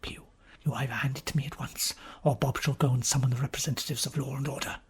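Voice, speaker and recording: A man voicing a smug, wry gentleman who coolly needles a friend in an audiobook story, with dry, formal phrasing. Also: medium pitch, steady tone, husky, voice pushed down. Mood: cool, mocking. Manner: dry, formal, unhurried teasing. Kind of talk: dramatised audiobook character reading.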